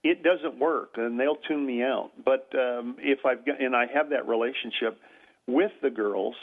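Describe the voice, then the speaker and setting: An older man, a grandfather, talking as a guest on a radio show, with a loud voice and a halting manner full of fillers and restarts. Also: medium pitch. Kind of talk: radio talk show interview. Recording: tinny phone-like radio audio.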